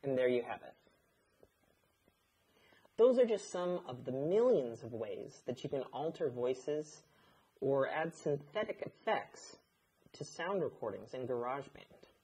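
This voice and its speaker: Mellow man giving instructions. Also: mid-range voice, sing-song, breathy edge, low-quality recording. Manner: measured, instructional. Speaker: audio engineer, instructor. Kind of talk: scripted tutorial narration. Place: indoor studio, seated at a desk.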